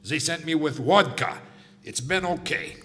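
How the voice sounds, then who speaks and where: A sly Russian balloon spy. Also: male voice, a little high, a little slow, a bit bright, long reverb tail, a little hiss or hum. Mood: deadpan. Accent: put-on Russian. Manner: broken English, jokey, evasive.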